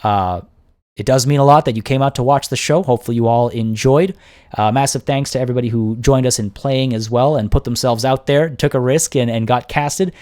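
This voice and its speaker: A young man hosting a game livestream, chatting casually to viewers and reading out their comments, his voice getting worn and hoarse. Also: medium pitch, a little fast, a little mellow. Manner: upbeat, chatty, grateful, many restarts. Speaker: livestream host and game caster. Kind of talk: casual livestream sign-off, reading chat aloud.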